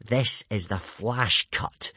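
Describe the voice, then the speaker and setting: A man's voice: medium pitch, sing-song, a little slow, husky, no echo, pitched above the performer's own voice. Man acting a sneering, drawling teenage Viking bully. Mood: jeering. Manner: drawling, mocking, self-satisfied. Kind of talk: children's audiobook character acting.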